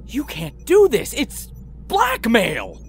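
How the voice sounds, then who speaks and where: A man performs an indignant, melodramatic man in an audio comedy. His pitch swings dramatically and can climb very high. He exclaims in righteous panic over a slightly noisy background. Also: wildly swooping pitch, slow pace, creaky, exaggerated voice.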